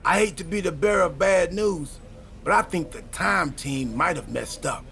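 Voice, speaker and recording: A man playing an excitable, goofy time-travelling wrestler in a video game cutscene, wisecracking through dramatic exposition. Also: a little high, normal speed, vocal fry. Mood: dramatic, ominous.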